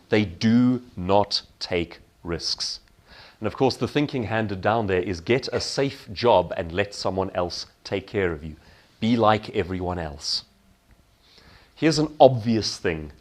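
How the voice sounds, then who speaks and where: A man giving a motivational keynote talk in an emphatic manner, posing questions and answering them himself. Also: on the low side. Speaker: keynote speaker.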